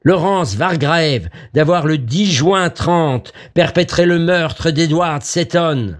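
A man voicing an inhuman, accusing voice from a gramophone record, high, clear and penetrating, in slow, solemn, formal proclamation. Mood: cold, accusing.

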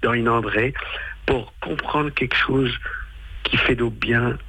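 A man's voice: medium pitch, slow, bright, forceful tone, slightly rough, no echo, low-quality recording. A man in his sixties from western France, a native speaker of patois, giving a casual interview in a hesitant, colloquial way, searching for his words. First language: western French patois. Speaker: stage performer, storyteller.

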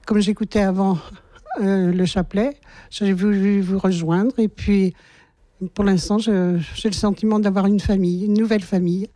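Woman, mellow tone, dry sound, hesitant modest answers. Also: mid-range voice, level tone, normal speed, dry recording. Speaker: volunteer. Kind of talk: interview answers.

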